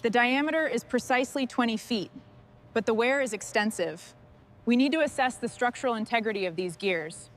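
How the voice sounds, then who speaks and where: A woman's voice: a little high, fairly quick, background noise. A woman muttering to herself and giving brief instructions while doing restoration work, in short bursts of work talk. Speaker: machinery restorer.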